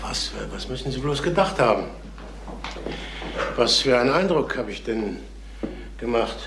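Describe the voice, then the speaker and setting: An actor playing a jittery, evasive middle-aged salesman and father in a radio crime play, speaking haltingly with repetitions. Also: medium pitch, lively intonation, reverberant, background noise, natural voice. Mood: nervous, apologetic. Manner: halting, repeats himself. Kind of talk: German radio crime drama acting.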